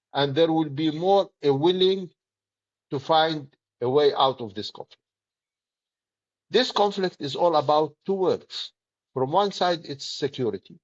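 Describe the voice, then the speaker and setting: A middle-aged man with a Palestinian Arabic accent, speaking earnestly and deliberately in short, emphatic phrases as an interview guest. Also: on the high side, muffled recording. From Palestinian territories. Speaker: political activist, interview guest.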